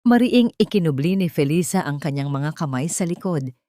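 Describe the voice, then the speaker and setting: A woman's voice: sits low, animated, normal speed, warm tone, dry recording, clean studio sound. A woman with a Filipino accent narrating a dramatic scripted story as an audiobook.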